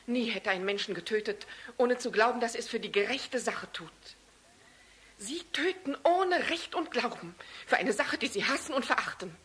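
An actress playing a grieving, unforgiving widow in a radio play, speaking in a solemn, declamatory, accusing manner. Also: female voice, on the high side, animated, normal speed, fairly bright tone, husky. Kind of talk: dramatic radio play acting.

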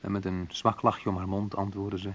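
A man's voice: sits low, level tone, normal speed, fairly bright tone, slight background noise. An actor playing a wry, reflective man who narrates memories of his youth in an intimate radio drama, confiding and a little hesitant. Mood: quiet, sad. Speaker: narrator and main character of a radio play.

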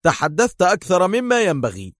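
A man's voice: medium pitch, very animated, fast talker, no echo, studio quality. A man voicing a confident, sarcastic secret agent hero in a storybook thriller, taunting and decisive. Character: mocking, supremely confident male Egyptian secret agent hero.

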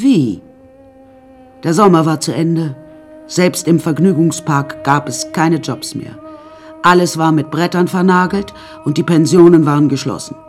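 A woman's voice: deep voice, slightly rough, full character voice. An actress playing a flamboyant, unsinkable woman who narrates her life in a radio play in a wry, chatty, theatrical way. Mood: wry, resolute.

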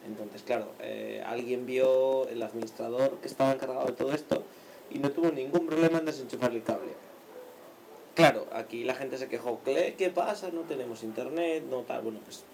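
Gravelly casual radio host. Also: male voice, medium pitch, a little hiss or hum. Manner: hesitant, many fillers and restarts.

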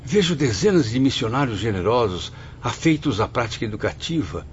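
A man's voice: mid-range voice, big pitch swings, brisk, natural voice. An awed newcomer played by a man in a radio drama, formal and full of wonder. His intonation moves widely. The sound is dull with faint noise. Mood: amazed, curious. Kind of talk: Brazilian radio drama acting.

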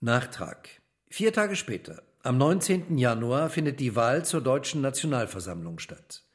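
A man's voice: medium pitch, big pitch swings, normal speed. A man narrating a radio drama in a documentary style, reading formally, factually and precisely.

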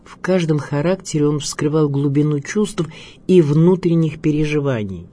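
A man narrating a scripted radio biography in a measured, expressive storytelling manner. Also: fairly high pitch. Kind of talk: scripted documentary narration with dramatic readings. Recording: music bed under voice. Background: music.

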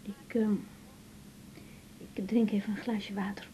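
A woman's voice: medium pitch, flat delivery, measured pace, dark tone, slight background noise, close to an everyday voice. An actress playing a troubled, conscientious young woman doctor in a Dutch radio drama, speaking hesitantly. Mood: nervous, subdued. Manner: hesitant, searching for words. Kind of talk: 1980s Dutch radio drama acting with spoken diary monologue. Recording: dull 1980s radio recording.

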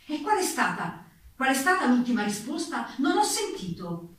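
Pressing interrogator, very echoey. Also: female voice, sits high, very animated, normal speed, a bit bright. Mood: suspicious, insistent. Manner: formal, pressing, probing. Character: insistent, suspicious interrogator at a political hearing. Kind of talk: dramatized interrogation in a radio theatre piece. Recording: very echoey, far from mic.

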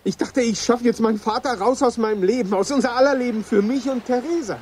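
High-voiced, troubled teenage boy. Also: darkish tone, a little hiss or hum. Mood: bleak, confessing. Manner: halting, repeating himself. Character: troubled, guilt-ridden teenage boy confessing. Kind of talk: German radio drama acting.

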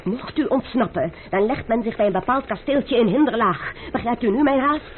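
A proud chevalier speaks in a high, imperious voice, curt and full of impatient menace. The radio play sounds thin and band-limited, with faint hiss. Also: male voice, lively intonation, normal speed, phone-quality sound. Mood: urgent. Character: haughty, impatient masked chevalier, proud and threatening. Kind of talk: old radio drama acting.

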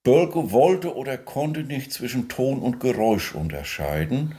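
A man's voice: mid-range voice, lively intonation, heavy background noise, close to an everyday voice. A man as a scholarly music expert, giving digressive, spontaneous-sounding commentary with many hesitations and tag questions. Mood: musing, digressive. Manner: halting, digressive, frequent fillers and tag questions. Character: male music expert, rambling, eager, scholarly reminiscer. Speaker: commentator on the composer.

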